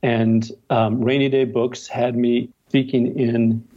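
A man's voice: medium pitch, level tone, slow, highs cut off. A middle-aged male novelist chatting casually as an interview guest, telling stories in a wry, self-deprecating way. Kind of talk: casual interview chat with anecdotes.

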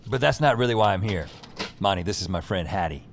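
A man's voice: low voice, animated, quick pace, vocal fry, slight background noise. An actor playing a wry, world-weary adventurer who narrates his own story in an audio drama with slangy lines. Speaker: narrator and lead character. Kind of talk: first-person scripted narration in an audio drama.

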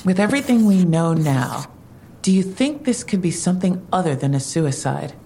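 Female detective: lowish voice, animated inflection, skeptical and probing, audio drama with faint rumble. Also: moderate pace. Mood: skeptical, questioning.

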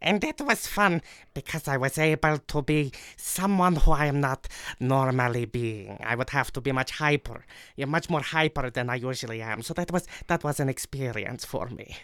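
A young man with a smooth, clear voice talks casually about his work as a voice actor. The recording is dry and close, and he explains things in an earnest way. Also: medium pitch, normal speed, fairly bright tone, dry recording. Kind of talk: casual interview talk about voice acting.

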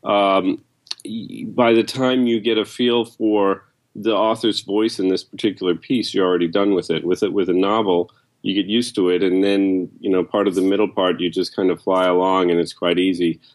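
A man's voice: deep voice, steady tone, moderate pace. A man talking as a book editor and interview guest, explaining his work conversationally.

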